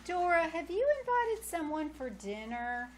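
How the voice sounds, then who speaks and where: Woman playing a high, animated, proper aunt. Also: a little slow, exaggerated voice. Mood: suspicious, protesting. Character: reluctant, polite single aunt being set up. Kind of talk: 1940s radio comedy acting. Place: live community theater stage. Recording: noisy live theater stage recording.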